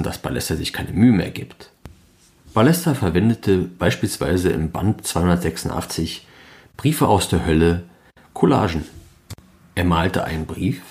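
Low-voiced man narrating a podcast. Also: a little mellow. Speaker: fan podcast host and narrator. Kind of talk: scripted documentary narration.

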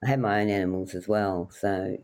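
A woman's voice: rumbling low, fairly even intonation, a little fast, darkish tone. A middle-aged woman from rural New South Wales with an Australian accent, telling casual anecdotes as an interview guest. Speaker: podcast guest, wildlife carer.

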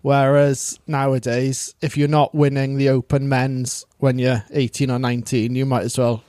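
A man's voice: mid-range voice, little pitch movement, normal speed, darkish tone, no echo. A middle-aged man, chatting as a podcast guest in a hesitant way with many restarts and "you know"s. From Wales. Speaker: guest, surfer. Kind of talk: casual interview chat.

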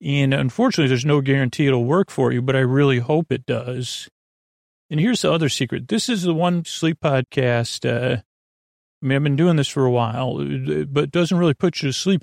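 A man hosting a sleep podcast in a creaky voice, with a meandering, halting monologue full of repeats and tangents. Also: mid-range voice, darkish tone, no room sound, clean studio sound. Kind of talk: rambling bedtime monologue.

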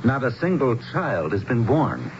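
An actor playing a grave, courteous American small-town mayor in a radio drama, speaking in a measured, dramatic storytelling way. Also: male voice, mid-range voice, sing-song. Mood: earnest, mysterious. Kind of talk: 1970s radio drama acting. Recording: dull, noisy old radio broadcast.

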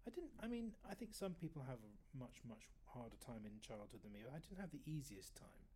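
Man, late fifties, fairly high voice, chatty storyteller for a casual interview. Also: sing-song, brisk, warm tone, slight background noise. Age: 50s. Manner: chatty, rambling storyteller with self-corrections. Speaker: guest oboist.

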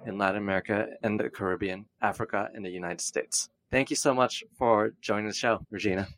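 A male podcast host with a somewhat low voice. He reads out a guest introduction, then moves into short, friendly interview questions and quick affirmations. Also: fairly even intonation, fairly bright tone. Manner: friendly, brief prompts and affirmations.

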